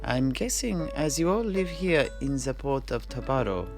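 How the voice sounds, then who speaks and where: A man playing a genial old-world seafarer who recounts his grandfather's voyages in measured storyteller's phrasing. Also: mid-range voice, very animated. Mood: measured, explanatory. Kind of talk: in-character fantasy storytelling. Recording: music playing under the voice.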